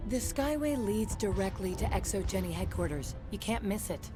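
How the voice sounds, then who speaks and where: Woman acting besieged female colonist, blunt, skeptical, game dialogue, mid pitch, music behind. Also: lively intonation, normal speed, natural voice. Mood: grim. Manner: blunt, curt. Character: hard-edged, skeptical female colonist under siege.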